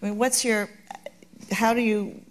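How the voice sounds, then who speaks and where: A woman's voice: on the high side, fairly even intonation, measured pace, slight background noise. A woman moderating a festival panel, asking long, winding questions of the panelists. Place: festival stage.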